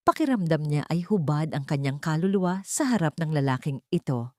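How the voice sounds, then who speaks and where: Slightly low-voiced woman narrating romantic drama. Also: lively intonation, dark tone, no echo, clean studio sound. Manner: solemn, measured storytelling.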